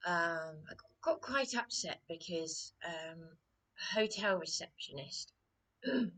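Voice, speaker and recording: A woman talks slowly to her camera with a hoarse voice that keeps sinking into a whisper. She stops and restarts her sentences, distressed and searching for words. Her pitch sits somewhat low. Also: expressive, sharp tone, poor audio. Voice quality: hoarse, often dropping to a whisper. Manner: distressed, hesitant, halting with many restarts. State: losing her voice, coughing. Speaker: vlogger. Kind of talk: personal vlog monologue.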